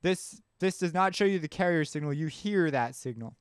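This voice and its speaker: A man narrating a casual software tutorial, talking informally as he thinks aloud. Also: on the high side, lively intonation, moderate pace, clear, resonant tone, no echo, clean studio sound. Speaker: tutorial host. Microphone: close mic screencast voice-over.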